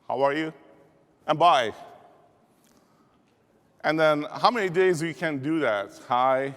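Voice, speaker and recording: Man, Persian accent, energetic keynote speaker, call-and-response, slightly high voice, long hall reverb. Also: clear, resonant tone. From Iran. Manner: rallying, call-and-response with audience. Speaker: motivational speaker. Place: large conference hall.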